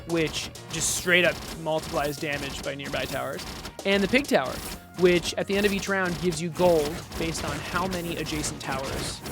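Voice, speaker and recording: A game developer giving casual gameplay commentary, explaining things step by step and correcting themselves along the way. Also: medium pitch. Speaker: game developer demoing own game.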